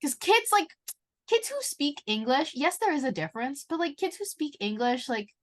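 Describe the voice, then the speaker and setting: A woman's voice: high-pitched, big pitch swings, moderate pace, a bit bright. Young woman cohost, blunt and emphatic, says like a lot. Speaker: podcast co-host. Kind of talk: casual chat.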